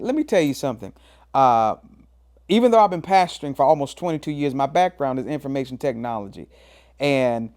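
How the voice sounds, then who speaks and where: A fifty-year-old pastor from the American South, talking in a preacherly, emphatic way. His voice sits at an ordinary middle pitch, but he leaps up and drops low for emphasis and keeps calling the listener "man." He sounds like a host giving his own motivational talk. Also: darkish tone. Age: 50s.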